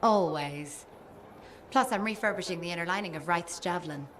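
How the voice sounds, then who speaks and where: Woman playing a low-voiced caring game mechanic. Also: normal speed, a bit bright, slight background noise, lightly acted. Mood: fond, wry. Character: caring, practical female mechanic, devoted mother. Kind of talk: video game dialogue acting.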